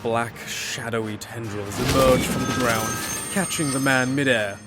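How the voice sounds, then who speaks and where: A man's voice: medium pitch, steady tone, unhurried, loud music behind the voice. A man giving dramatic, scripted fantasy narration as the storyteller.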